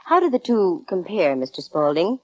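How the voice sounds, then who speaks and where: A woman's voice: lowish voice, very animated, moderate pace, a little mellow, no room sound. A woman playing a wry, self-assured young private detective in 1940s radio drama style, with dry, breezy delivery. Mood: wry, businesslike. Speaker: lead actress in radio detective series. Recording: dull vintage radio recording.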